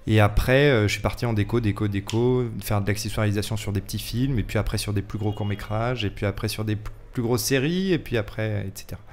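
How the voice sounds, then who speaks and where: A man's voice: on the low side, fast talker, hardly any pauses, slight background noise. A man speaking casually as a guest in a radio interview, explaining his trade in an informal way. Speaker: film set decoration technician, radio guest. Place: radio studio.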